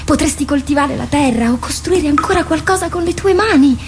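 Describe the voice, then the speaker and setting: A woman's voice: sits high, brisk, natural voice. An actress playing a protective, jealous dead woman in a radio drama, pleading and reproachful, with intense theatrical delivery. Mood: urgent, reproachful. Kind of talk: Italian radio drama acting. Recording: clearly noisy radio drama recording.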